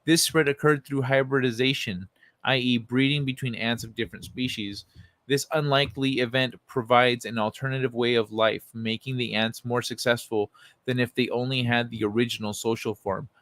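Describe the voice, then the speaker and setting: Guy streaming late night, casual and excitable, swears a lot, average pitch, really dry close mic. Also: moderate pace, no room sound. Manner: informal, excitable, swears freely. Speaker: livestream host. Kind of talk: casual livestream chat with reading aloud of articles.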